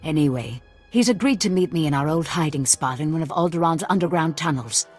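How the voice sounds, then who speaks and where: An actress voicing a wary, stubborn, lovelorn middle-aged woman in a dramatic video game scene, with a husky voice. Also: deep voice, lively intonation, brisk, a little mellow, faint music behind. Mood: wary, hesitant.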